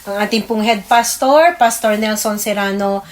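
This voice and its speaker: A Filipino woman co-hosting a religious radio program, giving prayers and greetings politely and pausing as she recalls names. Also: medium pitch.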